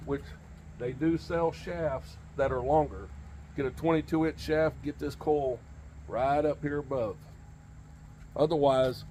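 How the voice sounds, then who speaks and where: A man's voice: medium pitch, darkish tone, slight background noise. A man in his forties giving an informal, plain-spoken instructional demonstration outdoors, repeating key points for emphasis. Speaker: host of CB radio tips videos. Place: outdoors in a home driveway beside a pickup truck.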